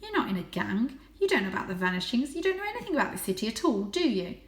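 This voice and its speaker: A woman acts out a tough female street cat from a children's story. She is scornful and blunt, quizzing and dismissing whoever she talks to. The room gives the voice a clear echo. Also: fairly high pitch, big pitch swings, very fast. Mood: realising, dismissive. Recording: roomy, echoing indoor sound.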